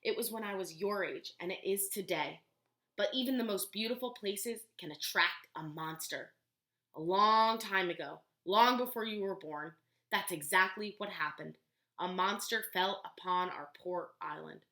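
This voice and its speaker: A woman voicing a kindly old male storyteller who speaks slowly and gravely, in an echoey room. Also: mid-range voice, bright, forceful tone. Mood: solemn.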